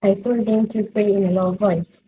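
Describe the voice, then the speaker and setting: An anxious young granddaughter bearing grave news in a stage drama, acted by a woman. Her voice is mid-pitched and very dark in tone. Faint noise sits behind it. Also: fairly even intonation, moderate pace, close to an everyday voice, poor audio. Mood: urgent. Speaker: student actor. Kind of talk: stage play acting.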